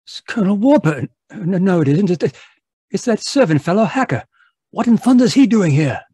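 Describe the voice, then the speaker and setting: Radio mystery sidekick doctor, played by a man: high raspy voice, dark soft tone, earnest and flustered. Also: animated, normal speed, no room sound. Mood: alarmed, indignant. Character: earnest, easily alarmed English doctor, detective's sidekick. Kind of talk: old-time radio mystery acting.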